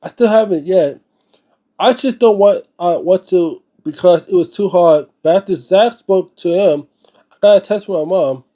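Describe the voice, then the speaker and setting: Male book-reading host, high voice with a soft, dark tone. Informal, halting delivery with frequent stumbles and repeats. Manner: slangy, informal asides. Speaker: host of a read-aloud book series. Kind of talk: dramatic book reading with informal spoken commentary.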